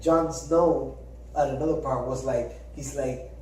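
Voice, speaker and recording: A man co-hosting a podcast in casual chat, talking in an animated way, swearing and acting out quotes. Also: medium pitch, measured pace, a little hiss or hum. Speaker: podcast co-host. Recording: echoey room, far from the mic.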